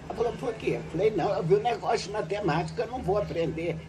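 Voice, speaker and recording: An older woman, a retired teacher, recounting her school days in a relaxed interview on a home patio. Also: mid-range voice, racing, background noise. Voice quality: lively, animated storytelling with laughs. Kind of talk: reminiscing interview. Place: covered outdoor patio at a home.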